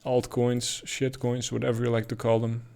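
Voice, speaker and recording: A man's voice: medium pitch, completely flat delivery, normal speed, vocal fry, darkish tone. A man with a Dutch accent giving casual, thinking-aloud commentary on price charts, with frequent ums and uhs. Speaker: crypto chart analyst.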